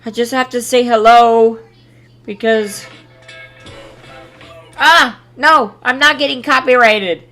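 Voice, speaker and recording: A woman giving a casual live reaction, excitable and exclaiming, sometimes reading haltingly. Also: on the high side, soft background music. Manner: excitable, exclaiming, reads on-screen text aloud haltingly. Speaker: streamer reacting to a video.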